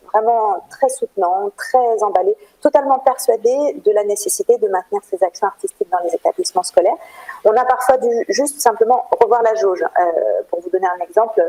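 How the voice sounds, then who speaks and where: Woman, French from France, interview guest. Soft dark tone, mid pitch, earnest and explanatory with hesitant pauses. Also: poor audio. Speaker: interview guest, cultural programme manager at a theatre. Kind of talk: radio interview, explanatory answers.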